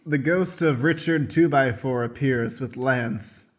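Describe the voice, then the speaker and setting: A man's voice: medium pitch, measured pace. A man narrating stage directions and making announcements as host of an improvised radio play.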